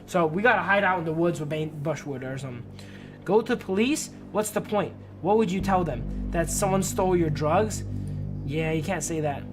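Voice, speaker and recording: A man's voice: a little high, normal speed. A man streaming a game with casual, chatty, sweary commentary full of slang. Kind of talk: casual livestream commentary and reading game text aloud. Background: music behind the voice.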